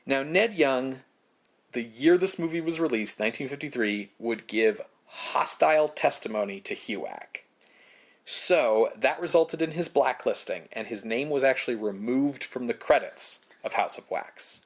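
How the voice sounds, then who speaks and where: A man co-hosting a podcast, narrating prepared film history in measured phrases with casual asides. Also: medium pitch. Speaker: podcast co-host.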